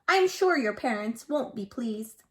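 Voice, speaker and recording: A woman's voice: fairly high pitch, animated, measured pace. A woman voicing a polite, firm male teacher who instructs a child in a picture-book read-aloud. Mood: correcting.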